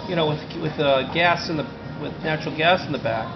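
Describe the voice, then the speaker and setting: A man from New York with a mild New York accent, chatting in a casual interview in a quick, emphatic way. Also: a little high, music in the background. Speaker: airport driver service owner. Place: living in Argentina. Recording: dull lo-fi audio with loud background noise.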